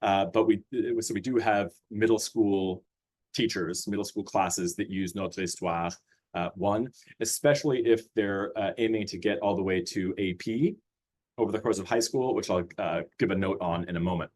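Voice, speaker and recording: A man's voice: sits low, normal speed, darkish tone. Man presenting a curriculum over a video call. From Austria. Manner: hesitant, many fillers and restarts, friendly. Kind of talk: webinar presentation.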